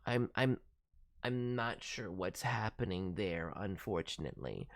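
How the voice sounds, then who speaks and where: A man's voice: low voice, animated, measured pace. A man giving casual, thoughtful critique as a voice director, hedging as he talks. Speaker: voice director reviewing demo reels.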